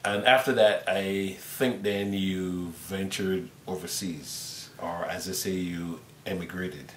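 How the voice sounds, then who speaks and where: A man's voice: fairly even intonation, takes it slowly, fairly bright tone. A man hosts a casual interview. He speaks conversationally in an echoey room, with faint noise in the background. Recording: roomy sound with faint rumble.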